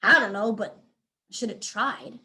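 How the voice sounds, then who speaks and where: Woman voicing scrappy, awkward, soft-hearted little male dog. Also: on the high side, moderate pace. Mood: guilty. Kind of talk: children's storybook character voice.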